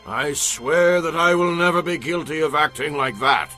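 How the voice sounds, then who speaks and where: A man's voice: a little high, moderate pace, bright, forceful tone, faint music behind. An actor playing a solemn, principled man of ancient Israel in a dramatized audio Bible, earnest and declamatory. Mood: indignant, loyal.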